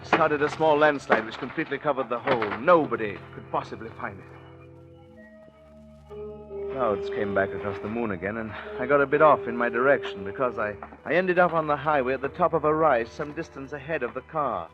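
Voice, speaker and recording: An actor playing a smooth-talking impostor and killer in a 1940s radio suspense drama, talking in a glib, confiding way. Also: male voice, medium pitch, a little fast, natural voice. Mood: tense, confessional. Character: smooth-talking impostor and cold-blooded murderer narrating his crime. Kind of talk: 1940s radio suspense acting, confessional narration. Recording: dull 1940s radio recording with music.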